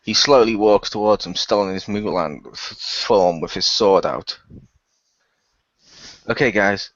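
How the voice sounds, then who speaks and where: A man's voice: on the low side, unhurried, fairly bright tone, no room sound, lo-fi sound. A man playing a role-playing game, improvising third-person narration and joking in character.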